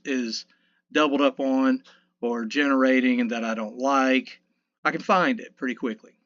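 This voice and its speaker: A man in his fifties with a low mid voice, casually talking through a mixing tutorial in a rambling, self-correcting, folksy way. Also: a bit bright, muffled recording. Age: 50s. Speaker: sound engineer teaching mixing. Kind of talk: casual screen-recorded tutorial. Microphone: dynamic vocal mic.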